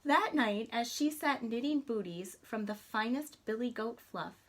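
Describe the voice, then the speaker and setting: A woman reading a children's story aloud in an expressive, deliberate, dramatic way. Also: on the high side. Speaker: storybook reader.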